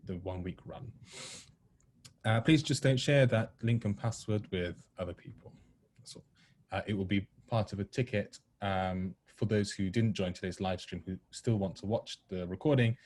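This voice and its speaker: A man's voice: lowish voice, a little mellow. A man hosting an online event informally, speaking politely and reassuringly, with frequent hesitant ums and uhs. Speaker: host of an online play table read. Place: online video call.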